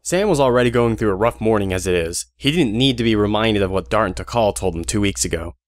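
Guy playing chatty wry narrator, a bit deep, lively, talks right to the listener, audio drama. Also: quick pace, dry recording, clean studio sound, close to an everyday voice. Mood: explanatory.

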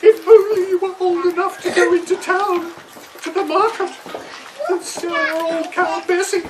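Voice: extremely high pitch, level tone, normal speed. A performer voicing a fretful, melodramatic mother in a children's puppet show, full of exclamations. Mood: wailing, fretful. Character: dramatic, fretful mother of a little boy. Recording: outdoor far camera, noisy background.

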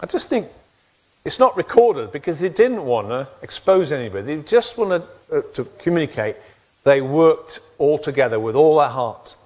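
A middle-aged man preaching a sermon from a church stage, talking in an animated way and gesturing broadly. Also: on the high side, normal speed. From England. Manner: animated, gestures widely while pacing the stage. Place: church stage with wooden backdrop.

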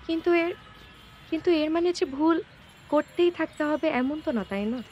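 High-voiced, gently resolute young Bangladeshi woman. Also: expressive, brisk, faint music behind. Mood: firm, pleading. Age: young adult. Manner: earnest, mixes English words into speech. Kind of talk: naturalistic short-film dialogue.

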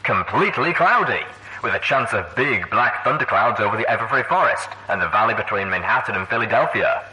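A man's voice: lowish voice, expressive, brisk, pushed and bright, rough recording. A man voicing a dramatic pony radio disc jockey delivering broadcast patter in an audiobook. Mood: ominous showman.

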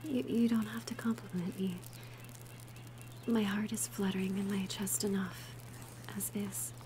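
A woman voicing a gentle, shy young female alchemist in an ASMR roleplay, softly breathy, hesitant and tender. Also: medium pitch, level tone, a little slow, noisy background, lightly acted. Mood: shy, warm. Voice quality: soft, breathy whisper.

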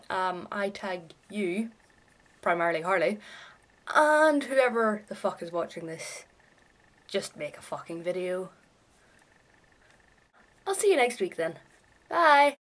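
A woman's voice: medium pitch, very animated, measured pace, pauses often. A young adult woman vlogging casually in her bedroom, with an irreverent, offhand, sweary way of talking. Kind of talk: casual chatty vlog to camera. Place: small bedroom, indoors.

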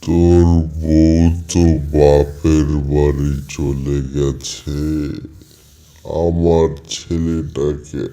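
Grumpy ghost father, very dark tone, extremely slow drawn-out delivery, scolding, pleading, comic audio drama. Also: rumbling low, little pitch movement, heavily acted. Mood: pleading, urgent. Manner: drawn-out calls, scolding with repeated insults.

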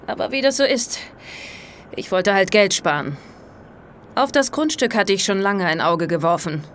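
A woman's voice: medium pitch, animated, normal speed, a bit bright, a little hiss or hum, natural voice. An actress playing a greedy, brazen businesswoman turned killer in a radio play. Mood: smug, cold.

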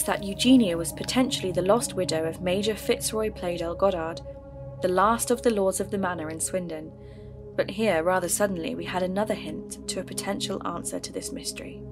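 A woman narrating her investigation of a local mystery in an earnest, measured way, in a scripted audio drama. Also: mid-range voice.

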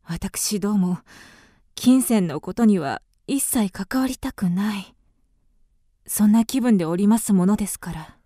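An actress playing a haughty, grieving widow with refined, formal speech in a radio drama. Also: female voice, medium pitch, lively intonation, measured pace, warm tone, dry recording. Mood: polite, reserved. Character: proud, grieving young widow, haughty and indignant.

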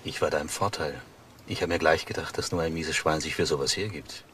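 An actor playing a calculating, sardonic husband in a crime drama, speaking in a cool, dryly taunting manner. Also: male voice, rumbling low, fairly even intonation, fairly quick, a bit bright. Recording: noisy archive crime drama audio.

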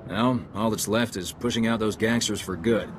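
A man's voice: on the low side, steady tone, normal speed, natural voice. A man playing a plain-spoken, loyal security officer in a video game, blunt and informal. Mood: admiring. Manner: blunt, informal, matter-of-fact. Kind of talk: video game cutscene acting. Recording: game cutscene with clear ambient noise.

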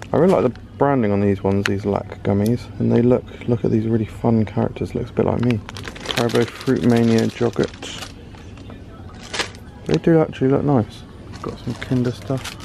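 British male food vlogger, gravelly, chatty and curious, handheld mic in busy store noise. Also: mid-range voice, moderate pace. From United Kingdom. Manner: chatty, curious, exclaiming over products. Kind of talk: casual running commentary while shopping. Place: large supermarket aisles, indoors.

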